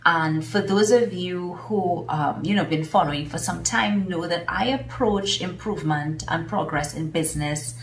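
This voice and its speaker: A woman's voice: on the low side, moderate pace, few pauses. A woman with a Trinidadian accent, chatting enthusiastically to camera about her favourite tools, with plenty of fillers. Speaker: vlogger and business coach. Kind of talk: casual talk to camera. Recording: roomy echo, mic at a distance.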